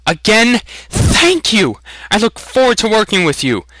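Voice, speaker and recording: A man's voice: high voice, wildly swooping pitch, measured pace, pushed and bright, no echo, voice pushed up, full character voice. A man voicing a polite, eager and earnest young farm lad in a fantasy audiobook. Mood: delighted. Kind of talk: dramatic fantasy audiobook reading.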